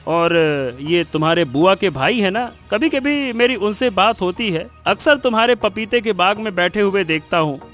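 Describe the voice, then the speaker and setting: A man's voice: high voice, lively intonation, moderate pace, hardly any pauses, a bit bright, soft background music. A man voicing a concerned younger villager in a cartoon story, inquisitive and full of advice. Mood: advising. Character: concerned, consoling younger village acquaintance of a farmer. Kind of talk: Hindi cartoon story voice acting.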